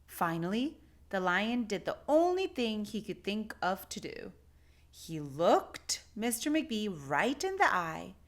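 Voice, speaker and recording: A woman narrating a picture book aloud for children, with deliberate, expressive phrasing. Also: medium pitch. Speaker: children's story reader.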